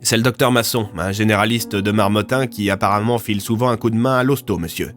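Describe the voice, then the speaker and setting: A man's voice: lowish voice, fast talker, a bit bright, soft background music, natural voice. A man voicing a reluctant, wary soldier in an audio drama, hesitant and deferential to his superior. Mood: dutiful. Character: reluctant, wary young male soldier, a sergeant. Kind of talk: French audio fiction acting.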